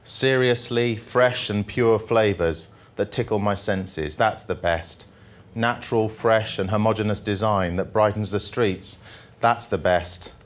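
A middle-aged man reading poetry aloud to a room with deliberate, mock-solemn phrasing, speaking into a handheld microphone. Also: lowish voice. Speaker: journalist reading poems at a workshop. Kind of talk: live poetry reading with casual asides to an audience. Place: indoor presentation room with projection screen.

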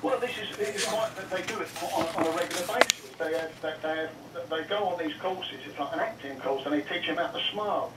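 A man with a slightly high voice makes an indignant, wordy complaint as a phone-in caller. The sound is distant and echoey, with clear noise in it. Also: brisk, few pauses. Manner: wordy, retells exchanges as reported dialogue. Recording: roomy radio playback, distant, noisy.

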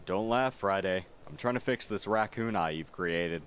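A man's voice: low-pitched, normal speed, a bit bright, slight background noise. A man voicing a prim, teasing young male goth art student with an arch, dramatic manner in an ASMR roleplay. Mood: teasing, fussy. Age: young adult. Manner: arch, dramatic, mock-formal wording.

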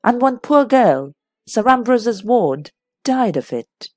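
A stubborn society hostess played by a woman narrator from a classic mystery audiobook. She protests in a flustered, plaintive way, with swooping intonation and an unhurried pace. The sound is close and dry. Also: a little high, very animated, a little mellow, no room sound, pitched above the performer's own voice, exaggerated voice. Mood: reluctant, plaintive. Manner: indignant, obstinate protesting.

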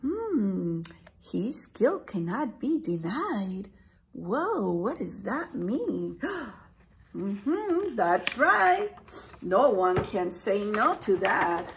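A woman in her forties, a preschool teacher reading a picture book aloud, exclaiming and putting questions to young listeners in an animated, expressive way. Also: medium pitch, very slow, slight background noise. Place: small indoor room with posters.

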